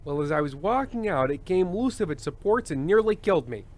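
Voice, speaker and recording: A man playing a world-weary, fretful television host with halting delivery in an old-time radio drama. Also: high voice, animated, darkish tone. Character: anxious, world-weary male TV show host.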